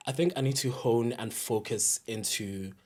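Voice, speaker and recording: A man's voice: mid-range voice, normal speed, a little mellow. A young man with a South African accent, speaking informally as a podcast guest with plenty of slang. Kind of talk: casual interview chat.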